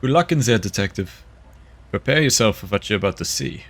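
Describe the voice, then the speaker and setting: A man playing a guarded, matter-of-fact investigator in a sci-fi audio drama, with a dry, measured delivery. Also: on the low side, animated.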